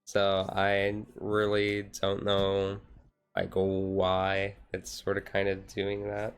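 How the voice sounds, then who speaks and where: A man streamer chatting casually in a relaxed, rambling way on a chill hobby stream. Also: sits low, slow, clear, resonant tone.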